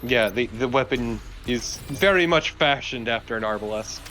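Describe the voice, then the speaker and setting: A man's voice: mid-range voice, normal speed, pushed and bright. A man adding casual, matter-of-fact, informative remarks as a co-commentator on a video game. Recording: clear background noise behind voice.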